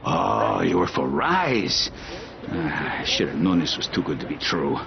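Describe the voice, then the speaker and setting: Game villager: anxious, exasperated, worn down, very animated intonation, slightly dull sound. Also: male voice, low voice, a little slow, gravelly, crowd noise, exaggerated voice. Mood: resigned. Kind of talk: cinematic video game acting. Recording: muffled game capture, faint noise.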